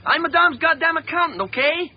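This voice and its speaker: High-voiced, pushy American accountant. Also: male voice, fairly quick. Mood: exasperated, demanding. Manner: pushy, slangy, exasperated. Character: brash, impatient American accountant with a girlfriend along. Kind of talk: radio drama acting. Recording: dull lo-fi radio recording, faint background noise.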